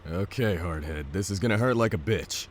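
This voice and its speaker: A man acting a gruff, loyal buddy in a survival audio drama, blunt and sweary. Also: low voice, a little fast, slight background noise, lightly acted. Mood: gruff, joking.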